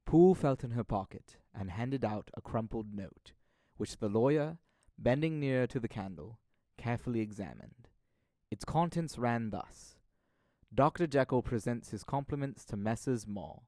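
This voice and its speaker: A young man narrating a literary audio drama in a deliberate storytelling manner. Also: mid-range voice, lively intonation, warm tone, no room sound. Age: young adult.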